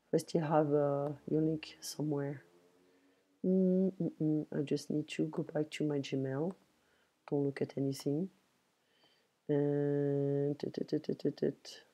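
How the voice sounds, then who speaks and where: A woman gives a French lesson over a video call, switching easily between French and English. Her voice sits low and mellow, and she talks at a slow, deliberate pace while she explains. The tone is patient and instructive. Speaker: French teacher. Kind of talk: online language lesson.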